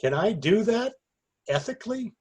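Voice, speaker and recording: Casting: male actor for earnest, sheepish amateur raconteur, slightly high husky voice, readers theater comedy. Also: big pitch swings, normal speed, darkish tone, exaggerated voice. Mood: sheepish, unsure. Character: hapless, earnest man rehearsing a half-baked party anecdote.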